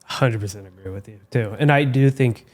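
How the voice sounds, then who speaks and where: A man who founded a skincare company, talking as a guest in a measured, earnest way and often restarting his phrases. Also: mid-range voice, darkish tone. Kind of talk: casual interview chat.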